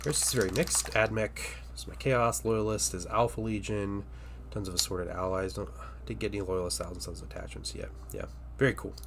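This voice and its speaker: A man's voice: lowish voice, fairly even intonation, a little fast, background noise. A man with a stuffy cold and a nasal voice hosts a casual livestream while painting miniatures. He rambles, hesitates, and drops into murmurs as he works.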